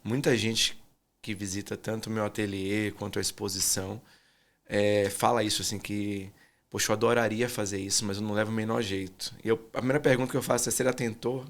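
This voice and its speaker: A man with a Bahian accent talks in a lowish, soft-spoken voice. He answers interview questions reflectively, trailing off and filling pauses as he thinks. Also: level tone. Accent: Bahian Portuguese. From Salvador, Bahia, Brazil. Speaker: guest artist.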